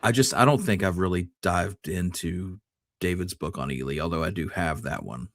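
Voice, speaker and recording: Male co-host, low voice, casual history chat, dry studio-like sound with no room echo. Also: normal speed.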